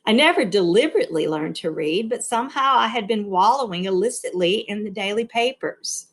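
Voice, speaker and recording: A woman narrating a read-along novel with expressive, deliberate phrasing and an informal, explanatory touch. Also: mid-range voice. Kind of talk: reading a novel aloud with informal explanatory asides.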